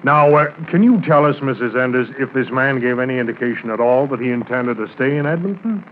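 An actor playing a courteous, businesslike police sergeant with a measured, official manner in a 1950s radio drama. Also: male voice, medium pitch, lightly acted. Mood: patient. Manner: measured, gently steering, official. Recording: tinny, noisy 1950s radio transcription.

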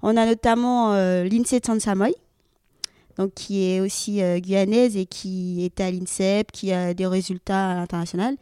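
A woman's voice: medium pitch, fairly even intonation, moderate pace, mellow, clear, resonant tone, no echo, clean studio sound. A young woman from French Guiana answering interview questions, hesitant, with many fillers and frequent laughter. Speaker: guest athlete.

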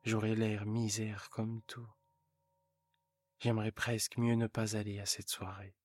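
A man's voice: fairly low pitch, flat delivery, unhurried, dry recording. A man voicing a discontented, bitter young wife who speaks in a plaintive, resentful way.

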